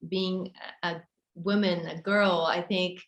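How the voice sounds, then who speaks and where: Halting woman. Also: on the low side, level tone, highs cut off. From Japan. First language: Japanese. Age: 30s. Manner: soft-spoken, halting, frequent fillers and restarts. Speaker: interviewee, model and author. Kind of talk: casual interview answers. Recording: roomy echo.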